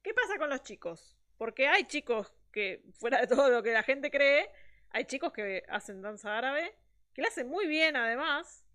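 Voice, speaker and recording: A woman's voice: sits high, very animated, moderate pace, pushed and bright. A woman hosting an interview, asking short, direct questions in a friendly way. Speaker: interviewer, host. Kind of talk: conversational interview.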